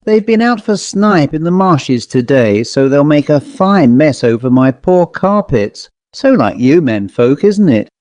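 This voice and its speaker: A man voicing a cheerful, chattering married aunt in a storybook, with a brisk manner. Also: fairly high pitch, sing-song, a little mellow, no room sound.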